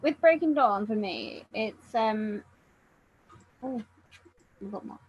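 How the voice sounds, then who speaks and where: A woman chatting casually about books in a halting, giggly way. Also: mid-range voice, lively intonation, husky, dry recording, muffled recording. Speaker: book readalong participant. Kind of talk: casual book discussion chat.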